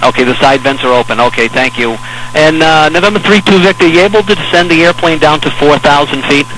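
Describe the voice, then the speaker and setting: An American man working as an air traffic controller, giving measured, hesitant, reassuring step-by-step instructions over aviation radio. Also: on the high side, fairly bright tone, low-quality recording. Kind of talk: instructional radio talk to a pilot in an emergency.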